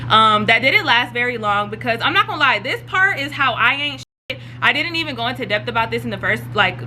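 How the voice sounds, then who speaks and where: Young girl telling a story, rambling w lots of likes, bright tone. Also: fairly high pitch, lively intonation, brisk, a little hiss or hum. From Atlanta. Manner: chatty, rambling, lots of fillers. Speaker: YouTuber. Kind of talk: casual storytime.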